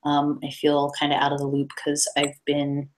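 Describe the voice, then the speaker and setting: Low-voiced woman giving a relaxed tech talk. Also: moderate pace. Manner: relaxed, many fillers and restarts. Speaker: meetup presenter. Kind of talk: casual tech talk with slides. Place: presenting over a video call.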